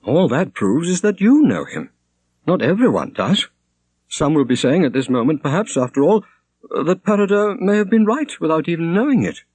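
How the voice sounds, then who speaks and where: Guy reading as a sharp earnest young woman in mourning, pitched up, lilting lines, soft husk. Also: very animated, normal speed, no echo. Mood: wry, sober. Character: earnest, quick-witted grieving young Welsh woman. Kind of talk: audiobook character reading.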